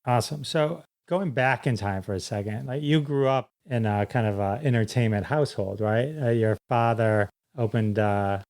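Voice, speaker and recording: A man hosting a podcast with a smooth, resonant voice at a middle pitch. He asks his questions in a relaxed, chatty way, easing in with fillers. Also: normal speed, hardly any pauses. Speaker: podcast host. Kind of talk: casual podcast interview.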